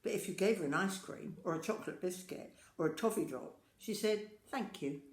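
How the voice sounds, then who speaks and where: A woman's voice: mid-range voice, a little fast. An older woman reading a children's story aloud, speaking directly and expressively to young listeners. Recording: roomy, echoing home-style recording.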